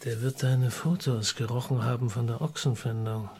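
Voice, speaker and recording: A man's voice: mid-range voice, fairly even intonation, moderate pace, dark tone, natural voice. An actor playing a shrewd, wry small-town newspaperman in a radio drama, drawling and sardonic. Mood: dry, scheming.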